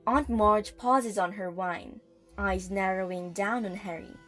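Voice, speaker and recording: A woman narrating an audio play in a theatrical, suspenseful manner, pausing for effect. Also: mid-range voice, steady tone, fairly bright tone. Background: music.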